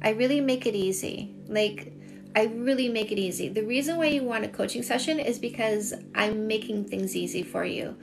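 Middle-aged female livestream coach, Canadian accent, fairly high voice with marked vocal fry, conversational, music behind. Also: normal speed, noisy background. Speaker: dating coach and livestream host. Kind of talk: casual livestream chat, reading and answering viewer comments. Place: small home room with shelves, indoors. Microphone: phone at arm's length.

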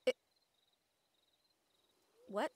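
A woman's voice: extremely slow, no echo. A woman playing an exasperated but caring siren in an audio roleplay, earnest and sighing, with Spanish endearments. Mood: puzzled, patient. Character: female siren-banshee, exasperated but caring partner and team mate.

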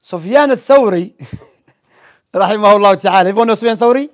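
High-pitched young male religious teacher. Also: fairly quick. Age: young adult. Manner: non-native English sprinkled with Arabic terms. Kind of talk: informal Islamic lesson with storytelling and quiz questions. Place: small indoor library room. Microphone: gooseneck microphone close to the mouth.

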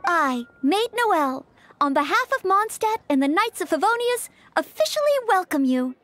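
An actress playing an earnest, polite young maid knight in a fantasy game, courteous, attentive and formal. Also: female voice, very high-pitched, big pitch swings, moderate pace, a bit bright, dry recording, faint music behind, exaggerated voice. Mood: formal, gracious. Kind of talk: scripted fantasy game voice acting.

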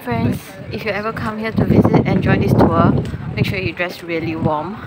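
A woman's voice: medium pitch, steady tone, moderate pace. A woman chatting casually to viewers while walking outdoors, laughing easily. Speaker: vlogger. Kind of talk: casual travel vlog narration. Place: outdoors on a windy city harbourfront. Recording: loud wind and street noise.

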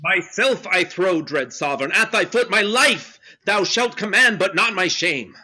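A man's voice: high-pitched, lively intonation, a little slow, bright, forceful tone, husky, heavily acted. A man voicing a proud, wronged nobleman in a Shakespeare reading over a video call, with impassioned, defiant verse delivery. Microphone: video call webcam.

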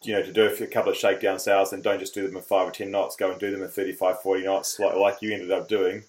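A man's voice: deep voice, flat delivery, fast, a little mellow. A man hosting a sailing podcast, asking questions in a chatty, folksy way. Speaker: podcast host. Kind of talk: casual interview.

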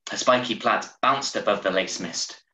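A man in his thirties giving a measured, expressive reading of a short story over a video call. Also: fairly low pitch. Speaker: story reader. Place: small room at home, on a video call. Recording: roomy, echoey video-call sound.

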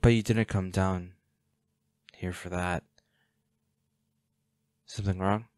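Man acting slow, low-voiced protective hunter boyfriend. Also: fairly even intonation, darkish tone, smooth, dry recording, clean studio sound. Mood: fond, wry. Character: protective, defiant boyfriend, a monster hunter, tender but ruthless. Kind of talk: intimate roleplay audio drama.

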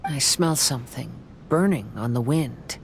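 A man playing a calm, thoughtful young swordsman in an anime video game, soft-spoken and measured. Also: mid-range voice, vocal fry, a little hiss or hum. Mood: observant. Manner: measured, reflective.

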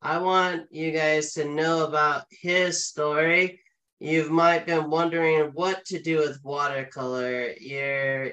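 A man's voice: fairly high pitch, pushed and bright, rough recording. A man in his forties hosting, giving an upbeat intro and asking interview questions over a video call. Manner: enthusiastic, quick, with fillers. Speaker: host. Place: indoor room, video call from a home studio. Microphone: webcam on video call.

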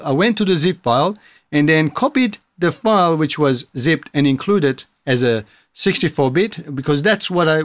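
A man in his thirties with a fairly high voice talks through a tutorial in a casual, explanatory way. The sound is dry and close, as in a small room. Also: no echo. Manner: explanatory, step-by-step. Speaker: tutorial presenter.

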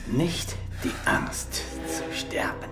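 An actor playing a solemn old Shaolin monk and mocking storyteller in a German radio play, often dropping into a whisper. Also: male voice, echoey room, lightly acted. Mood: menacing, mocking. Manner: slow, dramatic, often whispery delivery. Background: music.

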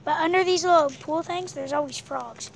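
A woman chatting casually in an outdoor vlog, filming by a pool on a handheld phone, loose with many fillers and dropping quiet while she searches. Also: high voice, moderate pace, creaky, very noisy. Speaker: vlogger. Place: outdoors by an abandoned pool on rural land. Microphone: handheld camera phone filming the water.

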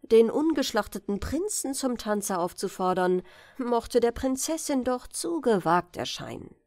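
Female audiobook narrator for bedtime fairytales, unhurried, animated melody, close dry sound. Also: on the high side, dry recording. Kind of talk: expressive bedtime fairytale reading.

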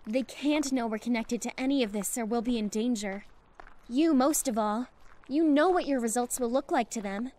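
A woman playing an earnest, protective young survivor with secrets in a zombie fan drama, speaking with quiet urgency. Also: on the high side, moderate pace, close to an everyday voice. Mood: urgent, protective. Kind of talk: dramatic audio visual-novel fan drama.

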